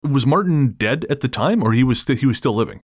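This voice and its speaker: A man's voice: medium pitch, expressive, fast, no echo. A man hosting a podcast, chatting casually and cracking crude jokes between stories. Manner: joking, crude humor with easy laughter. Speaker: podcast host.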